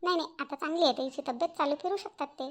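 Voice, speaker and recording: Gossipy cartoon sister-in-law , woman actor: tiny high voice, quick, eager, rural Varhadi accent. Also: fairly bright tone. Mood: eager, obliging. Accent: Varhadi Marathi from Vidarbha. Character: agreeable, gossipy village wife and sister-in-law. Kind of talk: Marathi cartoon family-drama dialogue.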